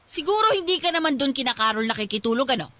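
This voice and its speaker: Furious high-voiced scolding mother. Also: lively intonation, bright, forceful tone, no room sound. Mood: scolding, suspicious. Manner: accusing, berating, rapid questions. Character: furious, scolding mother confronting her pregnant daughter. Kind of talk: Filipino radio drama acting.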